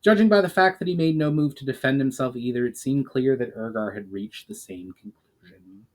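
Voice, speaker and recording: A man's voice: medium pitch, a little fast, darkish tone. A man giving a dramatic narrated reading of his own fantasy story, occasionally stumbling and re-reading a phrase. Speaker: author reading own book, channel host. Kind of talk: dramatic audiobook-style narration.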